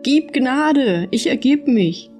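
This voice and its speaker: Storybook cowardly leader female reader acting the man, frightened, wailing, pitched high. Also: lively intonation, slow, faint music behind. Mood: panicked. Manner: loud frightened crying out.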